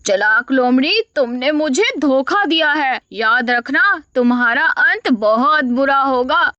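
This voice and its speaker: A woman voicing a gullible, indignant male bull in an animated story, earnest and accusing. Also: high voice, slow pace, no room sound, highs cut off, heavily acted. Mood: betrayed, angry. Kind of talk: animated moral story acting.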